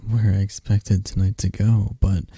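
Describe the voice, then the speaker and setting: A man voicing a shy, affectionate best friend in an ASMR roleplay, speaking softly and stammering with nerves. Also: lowish voice, level tone, normal speed, slightly rough, no echo, clean studio sound. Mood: playful. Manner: soft-spoken, stammering, gentle.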